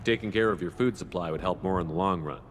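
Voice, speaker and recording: A direct, businesslike soldier in command played by a man in a video game. His voice is low and he talks quickly and coolly, with a long reverb around him. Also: background noise, close to an everyday voice. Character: male human military commander, cool and businesslike.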